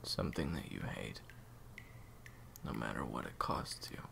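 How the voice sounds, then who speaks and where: A man's voice: extremely low pitch, expressive, a little slow, gravelly, fairly bright tone, a little hiss or hum, full character voice. A man playing a weary, conflicted assassin, cold but hurting, in an audio roleplay drama. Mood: weary, resigned.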